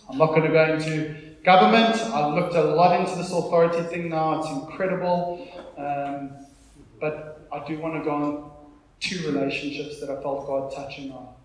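A South African man in his thirties preaching an informal sermon, conversational and emphatic, repeating phrases for effect as he tells personal stories. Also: a little high. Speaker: pastor preaching to a congregation. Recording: very echoey room, live sermon.

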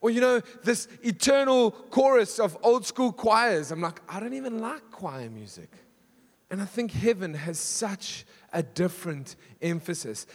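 Male pastor, husky and fairly high, casual asides, punchy emphatic runs; church reverb. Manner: conversational, self-deprecating, builds to emphatic lists. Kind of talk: sermon. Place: church hall. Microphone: handheld stage mic.

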